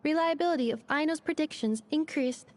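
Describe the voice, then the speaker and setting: A woman's voice: high-pitched, fairly quick, slight background noise, natural voice. A woman voicing a polite, literal robot girl in a video game, speaking precisely and methodically over music. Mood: composed, factual. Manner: precise, methodical, formal phrasing. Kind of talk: scripted video game dialogue.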